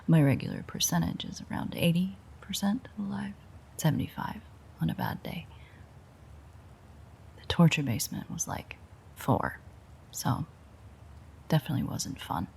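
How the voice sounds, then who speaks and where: A woman playing a breathy, sardonic vampire woman. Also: low voice, very animated, unhurried, pauses often, a little mellow, full character voice. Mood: weary, musing. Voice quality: breathy, husky, often near a whisper. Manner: dry, rambling, sarcastic banter. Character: weary, sardonic, teasing female vampire with a foul mouth. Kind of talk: audio roleplay acting, intimate monologue.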